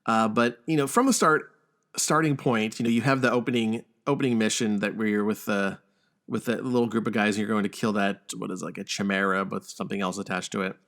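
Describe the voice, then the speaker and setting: Low-voiced man hosting a casual podcast. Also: steady tone, brisk, a bit bright. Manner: wry, joking, mildly profane. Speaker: podcast host. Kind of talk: casual chat about news and video games.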